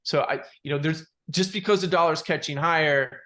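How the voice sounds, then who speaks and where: Halting man talking through market analysis. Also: a little high, bright, forceful tone. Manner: halting, repeats words, many you-knows. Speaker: market analyst presenting charts. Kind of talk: unscripted financial chart commentary.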